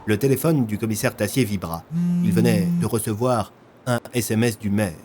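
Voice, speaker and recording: A man's voice: lowish voice, moderate pace, darkish tone, a little hiss or hum. A man narrating a scripted audio fiction as a storyteller.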